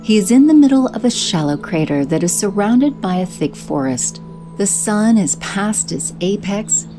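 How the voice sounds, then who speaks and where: A woman giving steady scripted narration for an audio drama. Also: mid-range voice, moderate pace, faint music behind. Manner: steady, descriptive.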